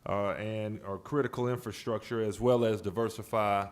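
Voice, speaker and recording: A man presiding as chair over a public board meeting, speaking in a halting way with repeated words and restarts. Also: fairly low pitch, steady tone. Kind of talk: formal but informal-toned meeting remarks.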